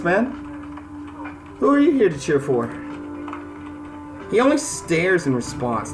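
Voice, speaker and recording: Animated American man over game music. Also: fairly high pitch, low-quality recording. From United States. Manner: informal, stammering, repeats words. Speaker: gaming video host. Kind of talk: casual let's-play commentary, reading game text aloud. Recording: music behind the voice.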